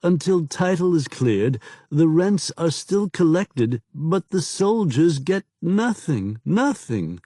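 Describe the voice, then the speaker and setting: A frightened informant with a sing-song lilt, as a male narrator puts him on, pitched clearly higher than the reader's own voice. He begs and confesses in a broken way, dragging out his words. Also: very animated, slow, hardly any pauses, dark tone, dry recording, studio quality, heavily acted. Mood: desperate pleading. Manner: broken, begging.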